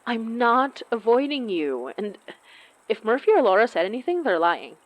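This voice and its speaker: An awkward young woman in an audio drama, apologetic and torn about a close friend. Her pitch moves around a lot, and she stammers and hesitates through her sentences in a natural, conversational way. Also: big pitch swings, normal speed, no room sound, muffled recording. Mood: flustered, defensive.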